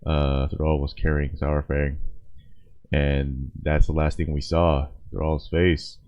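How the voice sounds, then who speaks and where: A man giving casual, off-the-cuff reaction commentary, halting and rambling as he thinks aloud. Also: extremely low pitch, little pitch movement, a little mellow. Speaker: video creator reacting to a game cinematic. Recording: dull, roomy, echoey home recording.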